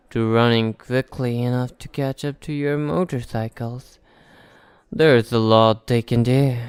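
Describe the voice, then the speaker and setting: A man playing a sly, teasing vampire in an ASMR roleplay, speaking in a deliberate, mocking and condescending way. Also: mid-range voice, darkish tone, clear, resonant tone, no echo. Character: sly, teasing male vampire posing as small-town informant. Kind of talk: ASMR roleplay monologue to the listener.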